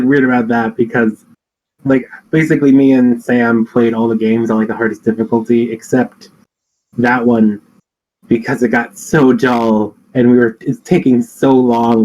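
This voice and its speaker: A man's voice: mid-range voice, fairly even intonation, moderate pace, a little mellow, muffled recording. A young man hosting a casual chat, telling stories with lots of "like" and laughing often. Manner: filler-heavy storytelling, laughs often. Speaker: podcast host.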